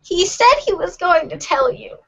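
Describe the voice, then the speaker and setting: A woman plays a very high-pitched teenage girl in amateur webcomic theater. She explains things patiently and casually, earnest yet cryptic. The recording is slightly muffled. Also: animated, normal speed, vocal fry, bright, forceful tone, heavily acted. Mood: hesitant.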